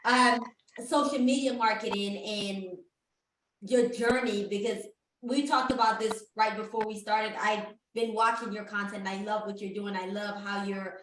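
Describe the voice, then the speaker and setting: A woman hosting a casual interview, pausing to search for her words. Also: mid-range voice, fairly even intonation, fairly bright tone, slight background noise. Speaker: interview host. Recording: echoey room, distant from mic.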